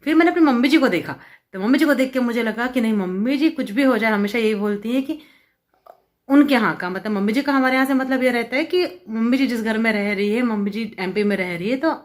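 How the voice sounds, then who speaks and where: A woman's voice: a little high, fast talker. A woman vlogger chatting casually to camera at home, telling a story with lots of fillers. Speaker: vlogger, homemaker. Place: at home, seated with hair dryer.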